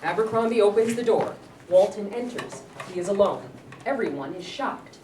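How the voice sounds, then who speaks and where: A woman's voice: mid-range voice, expressive, moderate pace, dark tone. A woman reading the stage directions aloud in a plain, matter-of-fact way. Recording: echoey room, read from far off, noisy.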